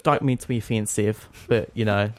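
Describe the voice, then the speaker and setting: A man's voice: on the low side, fairly even intonation, brisk, a little mellow. A young man co-hosting a casual chat show, joking at his own expense. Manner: self-deprecating, jokey. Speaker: co-host.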